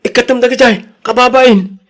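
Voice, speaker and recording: An actor with an Ilocano accent playing an embarrassed, scolding husband, blunt and reproachful, in radio drama style. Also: male voice, extremely high pitch, steady tone, moderate pace, fairly bright tone. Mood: exasperated, scolding.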